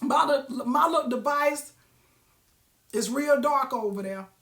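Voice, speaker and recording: Raspy woman giving a folksy pep talk. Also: high-pitched, unhurried, a bit bright. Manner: preachy, folksy, asks the audience questions. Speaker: live-stream host. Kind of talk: motivational casual talk. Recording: roomy echo.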